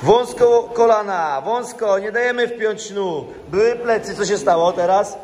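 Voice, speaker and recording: A man coaching during sparring, shouting quick instructions to the fighters. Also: high-pitched, slight background noise. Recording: echoey gym hall with music.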